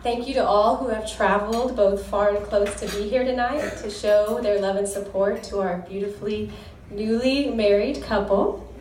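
A woman's voice: mid-range voice, steady tone, normal speed, darkish tone, a little hiss or hum. A young woman giving an earnest, heartfelt wedding toast as the groom's sister, reading scripture in a devout tone. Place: wedding reception hall. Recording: echoey hall recorded from far off.

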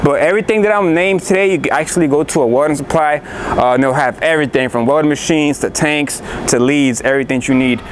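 A man's voice: on the high side, fast. A man talking casually as a welding instructor, loose and easygoing, with lots of filler words, standing outdoors by his work truck. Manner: laid-back, loose speech with many "uh" fillers. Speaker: welding instructor and business owner. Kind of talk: casual vlog walkthrough. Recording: noisy outdoor recording.

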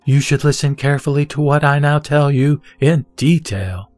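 A man reading the words of the Buddha as a calm, wise teacher, in a measured and solemn scripted recitation. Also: on the high side, no room sound, soft background music, voice pushed up, natural voice.

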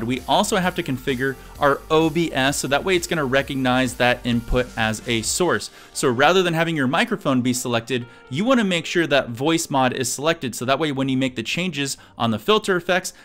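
An energetic man in his thirties presenting an upbeat scripted tech tutorial, addressing viewers directly. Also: mid-range voice. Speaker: video host. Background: music.

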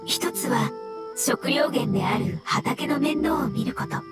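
A woman playing a gentle, polite water fungus spirit in a game, speaking formally and with measured calm. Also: sits high. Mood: polite, concerned. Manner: formal, measured, translating calmly. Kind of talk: scripted game dialogue in Japanese voice acting. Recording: music under the voice.

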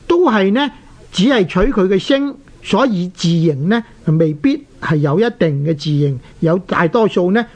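A man with a Hong Kong Cantonese accent presenting a scholarly radio lesson, explaining in a deliberate, didactic way with drawn-out particles. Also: high voice. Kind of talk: scholarly scripted lecture with recited verse. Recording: dull, lo-fi radio recording.

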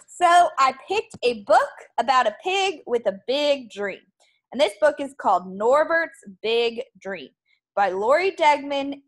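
Slow, high-voiced woman reading to kids. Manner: animated, deliberate storytelling for young children. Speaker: art teacher reading aloud to children. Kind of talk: expressive picture-book read-aloud.

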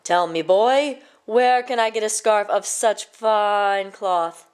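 A woman's voice: mid-range voice, takes it slowly, smooth, natural voice. A greedy storybook king, acted out by a woman reading aloud. The delivery is commanding and emphatic, stretching words out. He sounds boastful and used to being obeyed. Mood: imperious.

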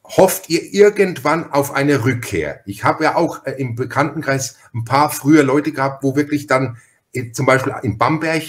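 A man's voice: mid-range voice, animated, moderate pace. A man chatting as a podcast host in an effusive, long-winded, personal way. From Franconia, Germany. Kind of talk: conversational interview chat.

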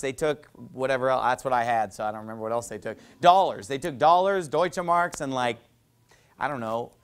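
Animated preaching man. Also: a little high. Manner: animated, gesturing, conversational preaching with asides. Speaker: pastor. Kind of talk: sermon. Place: small church stage with painted backdrop, indoors. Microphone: lapel mic.

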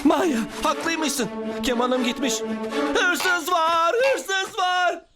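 A man voicing an excitable, dramatic violinist insect in a dubbed children's cartoon. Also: very high-pitched, drawn-out words, over loud music, exaggerated voice. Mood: alarmed. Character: fretful, excitable male musician insect with violin.

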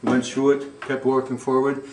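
A man's voice: medium pitch, level tone, normal speed, darkish tone, a little hiss or hum, rough recording. Older man explaining old farm ways. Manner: folksy, rambling, full of "you know" and "okay?". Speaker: museum guide. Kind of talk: casual explaining on a tour. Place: inside an old wooden barn. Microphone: camera mic, handheld camera.

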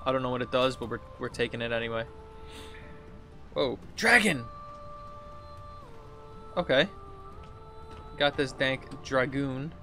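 A man's voice: medium pitch, a little slow, pauses often. A man in his thirties giving casual, playful gameplay commentary and getting easily exasperated. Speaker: gaming streamer. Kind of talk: casual gameplay commentary with exaggerated read-outs. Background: game music.

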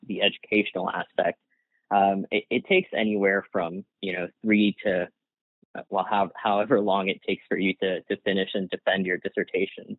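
A man's voice: fairly low pitch, dry recording. A man whose first language is Gujarati, answering interview questions casually, with frequent restarts and fillers and light laughs. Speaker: guest, workplace psychologist. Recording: tinny phone-like line, dry.

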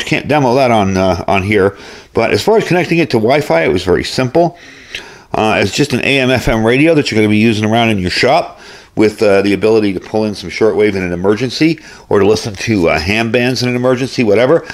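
A man giving a casual hands-on gear review as host, explaining things informally, step by step. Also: fairly low pitch.

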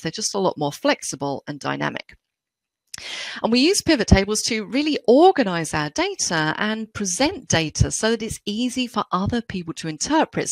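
Middle-aged London-accented woman trainer, lively and lowish, recorded dry and close. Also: dry recording. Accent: London English. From England. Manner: chatty, explanatory, animated delivery. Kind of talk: webinar software tutorial.

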